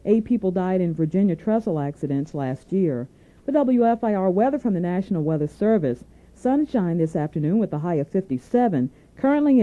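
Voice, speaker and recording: A woman anchoring a scripted radio newscast, reading in a formal, measured way. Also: medium pitch. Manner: formal, measured newsreading delivery. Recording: narrow tinny AM radio aircheck.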